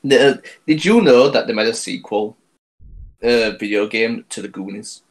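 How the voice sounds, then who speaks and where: A man's voice: medium pitch, moderate pace. A man co-hosting a podcast, chatting casually and teasing his co-hosts. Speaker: podcast co-host. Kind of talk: casual chat.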